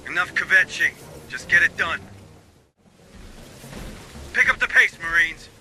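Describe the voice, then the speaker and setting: An actor playing a confident, commanding marine sergeant in a military video game, giving brisk orders with cocky humor. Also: male voice, on the high side, expressive, bright, forceful tone, a little hiss or hum, full character voice. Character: gruff, confident marine sergeant commanding his squad in battle.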